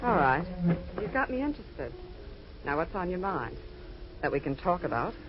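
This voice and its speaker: An actress playing a tough, guarded nightclub singer in a 1940s radio noir, cool and sardonic. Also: female voice, lowish voice, big pitch swings, moderate pace. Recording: dull old radio recording, faint music.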